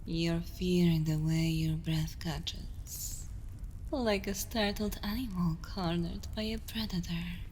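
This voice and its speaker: A woman playing an imperious, seductive evil queen in an ASMR roleplay, speaking deliberately and often slipping toward a whisper, commanding and condescending. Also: lowish voice, steady tone, a little hiss or hum. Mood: amused, predatory. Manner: slow, deliberate, hushed delivery slipping into whispers. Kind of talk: ASMR roleplay, intimate dramatic monologue.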